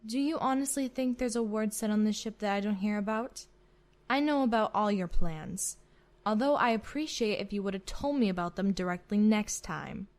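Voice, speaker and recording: A woman's voice: mid-range voice, normal speed, a little mellow, close to an everyday voice. A woman acting as a proud, commanding female admiral in a sci-fi roleplay, curt and sardonic. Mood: defiant, stern. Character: proud, sharp-tongued female starship admiral, commanding and unafraid.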